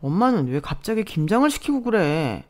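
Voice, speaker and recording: A woman's voice: fairly low pitch, big pitch swings, brisk. A sulky younger brother-in-law grumbling about chores, as a woman storyteller voices him. He sounds whiny and put out.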